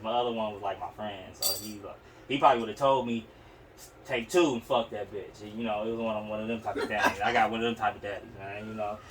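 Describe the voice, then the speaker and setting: A young man with an Oakland accent, telling personal stories on a podcast in a slangy, profane, rambling way. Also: on the low side. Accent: Oakland, California. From Oakland, California. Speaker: podcast host. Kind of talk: casual, candid personal storytelling. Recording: distant mic, noisy room sound.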